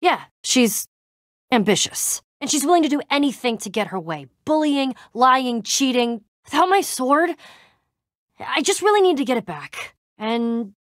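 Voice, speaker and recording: Youngish woman game hero, tough but exasperated, lively swingy pitch, dry close sound. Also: a little slow, no room sound. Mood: frustrated, earnest. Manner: wry, casual, hesitant trailing-off delivery. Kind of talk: video game voice acting.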